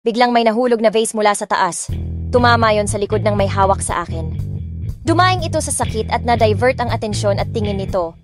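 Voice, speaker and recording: A woman's voice: medium pitch, a little fast, natural voice. A young woman playing a lovestruck heiress, narrating her own romance story in an emotive, dramatic way. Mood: tearful, tense. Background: music.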